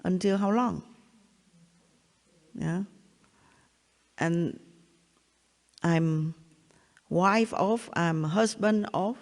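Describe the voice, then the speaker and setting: An older woman from Vietnam with a Vietnamese accent, giving a spiritual talk in a halting way, often repeating herself and checking in with listeners. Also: on the low side, darkish tone. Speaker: spiritual teacher. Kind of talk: satsang talk. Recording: long hall reverb.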